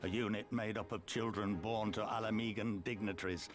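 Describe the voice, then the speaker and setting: An actor playing a bitter, grieving resistance officer in a video game, weary and impassioned. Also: male voice, lowish voice, steady tone, a little fast, natural voice. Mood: grave sorrow. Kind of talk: dramatic video game voice acting. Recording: game music behind the voice.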